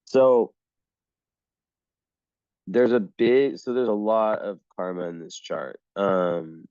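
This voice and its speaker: A hoarse man reading an astrology chart on his own, his voice low and unhurried. He rambles and restarts, clearing his throat often. The sound is close and dry, with a dull, lo-fi edge. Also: steady tone, dark tone, no echo.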